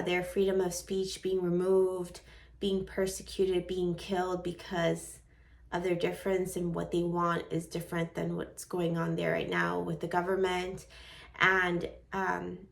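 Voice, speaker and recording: Woman in her forties, light Venezuelan accent, earnest vlogger with a lowish, gravelly voice, a little off-mic. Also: distant mic. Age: 40s. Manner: earnest, heartfelt, with soft laughs. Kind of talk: personal vlog talk to camera. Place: at her desk at home.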